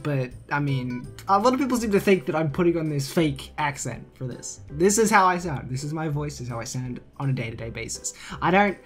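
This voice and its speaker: A young man from Queensland with an Australian accent, answering viewer questions in a chatty, relaxed gaming vlog full of fillers. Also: fairly high pitch, brisk, hardly any pauses. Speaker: gaming YouTuber. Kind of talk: casual Q&A vlog. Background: faint guitar music.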